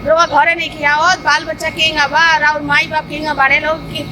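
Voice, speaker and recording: A woman's voice: high voice, little pitch movement, brisk, noisy background, lightly acted. A woman playing a suspicious, jealous village wife in a comedy phone quarrel, complaining insistently with a Bhojpuri accent. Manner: complaining, insistent, repeating herself.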